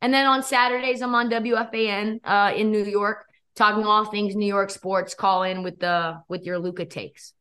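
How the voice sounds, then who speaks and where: A young woman guest with a hoarse, worn voice, talking sports and betting in a quick, energetic, opinionated way. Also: mid-range voice. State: losing her voice. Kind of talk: casual sports chat.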